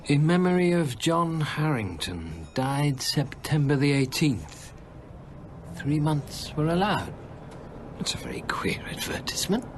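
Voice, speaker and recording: An actor playing an anxious, scholarly English gentleman in a radio drama, hesitant and stammering in his politeness. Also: a little high, big pitch swings, unhurried, a little hiss or hum. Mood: nervous, puzzled.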